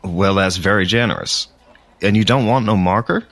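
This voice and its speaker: A blunt, gruff cemetery worker. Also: male voice, fairly low pitch, normal speed, a bit bright, no room sound. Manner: blunt, plain-spoken. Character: gruff working-class male cemetery worker. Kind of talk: audio drama acting.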